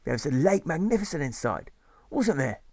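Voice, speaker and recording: A man's voice: fairly high pitch, big pitch swings, moderate pace, a little mellow, dry recording, studio quality. A man voicing a boastful army drill sergeant telling a hearty war tale with tag questions.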